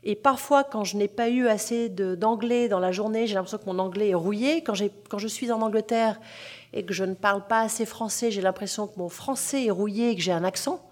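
A woman novelist talking in an interview, lively and self-deprecating, with frequent hesitations. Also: mid-range voice, fairly quick. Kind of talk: interview conversation.

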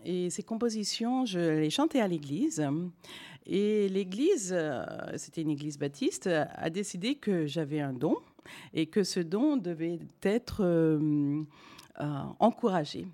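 Middle-aged woman with English as her first language, low mellow voice, halting unscripted delivery. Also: expressive. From Canada. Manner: hesitant, many fillers and restarts. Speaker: guest singer and voice teacher. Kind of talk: spontaneous talk to an audience.

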